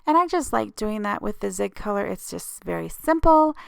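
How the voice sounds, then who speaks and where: Scratchy, creaky-voiced woman giving a craft tutorial. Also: mid-range voice, sing-song, normal speed, dry recording, studio quality. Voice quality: slightly scratchy. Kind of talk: casual tutorial voice-over.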